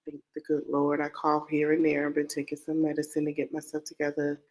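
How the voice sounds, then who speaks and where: A woman's voice: low voice, completely flat delivery, brisk, a little mellow. A woman hosting a casual true-crime podcast, nasal and congested from a cold. She rambles, repeats herself and talks straight to her viewers. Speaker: podcast host.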